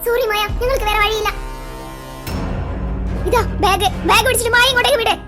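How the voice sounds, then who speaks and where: A tense cartoon policewoman, acted by a woman, commands and bargains urgently. Her voice is extremely high, bright and quick, with faint music behind it. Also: fairly even intonation. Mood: tense, negotiating. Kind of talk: amateur cartoon action drama.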